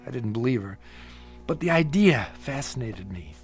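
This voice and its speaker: An actor in a radio drama playing a haunted, sleepless patient who talks in a halting, edgy, confiding way, afraid of his own imagination. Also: medium pitch, expressive, husky, faint music behind. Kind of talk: audio drama acting.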